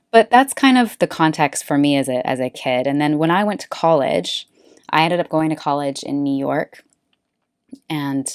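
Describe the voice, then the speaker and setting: A woman from California who hosts a face yoga podcast, telling her story in a relaxed, chatty way with lots of fillers. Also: low voice, dry recording, clean studio sound. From Bay Area, California. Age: late 20s or older. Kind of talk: casual personal storytelling monologue.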